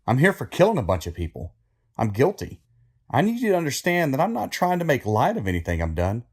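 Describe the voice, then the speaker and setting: Man playing a haunted, animated death-row killer. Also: mid-range voice, brisk, breathy edge, exaggerated voice. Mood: earnest, guilty. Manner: plain-spoken, haunted, easily worked up. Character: troubled, confessing condemned male killer on death row. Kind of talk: horror audio drama acting.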